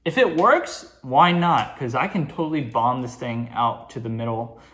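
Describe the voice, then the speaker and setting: A teenage boy hosting a fishing channel, showing off his gear in a relaxed, chatty way with plenty of fillers, filmed by a table camera in a small indoor gear room. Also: mid-range voice, normal speed. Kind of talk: casual show-and-tell vlog. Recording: echoey room with noise.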